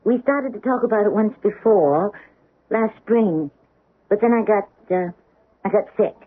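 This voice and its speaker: A woman's voice: medium pitch, measured pace, close to an everyday voice. A woman playing a troubled stage actress and dutiful daughter in a 1970s radio drama, speaking earnestly and theatrically. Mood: firm, exasperated. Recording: muffled old radio broadcast.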